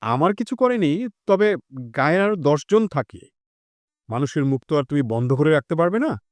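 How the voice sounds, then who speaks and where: A man's voice: on the high side, big pitch swings, moderate pace, no echo. A man playing a meddling, scolding older village gossip in an audio drama, insinuating and admonishing. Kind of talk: Bengali audio drama acting.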